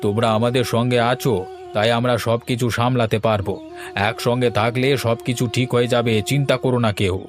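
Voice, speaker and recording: A man's voice: mid-range voice, steady tone, brisk, lightly acted. A man voicing a steadfast, reassuring village father in a cartoon, speaking earnestly. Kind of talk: scripted cartoon dialogue.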